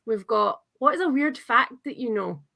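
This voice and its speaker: A woman hosting a casual live book chat, talking in an enthusiastic, chatty way with animated reactions. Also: on the high side, moderate pace, vocal fry, slight background noise. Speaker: host of a book channel livestream.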